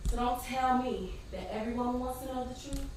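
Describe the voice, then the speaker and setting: A woman's voice: sits high, moderate pace, close to an everyday voice. An actress in a stage play playing an outspoken, wounded woman who wants both career and love, with a sassy conversational delivery. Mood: rueful, confiding. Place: large theatre stage, recorded from far off. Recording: distant, very echoey, noisy stage recording.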